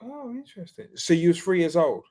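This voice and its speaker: A man's voice: sits high, lively intonation, brisk, mellow. A man interviewing a guest in casual chat, saying "okay, cool" often and repeating phrases.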